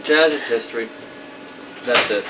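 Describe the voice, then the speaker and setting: A man's voice: medium pitch, a little slow, recorded from a distance, noisy background. A man talking casually in an interview as a screenwriter and dialogue coach.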